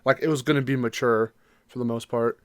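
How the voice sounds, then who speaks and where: A man's voice: medium pitch, fairly even intonation, brisk, clear, resonant tone, no echo. Man chatting on a podcast, dry close sound. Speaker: podcast co-host. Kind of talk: casual podcast discussion.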